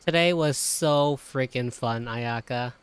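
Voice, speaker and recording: A woman's voice: rumbling low, slow, clear, resonant tone. A woman giving casual, enthusiastic live-reaction commentary as a game streamer, with slangy phrasing.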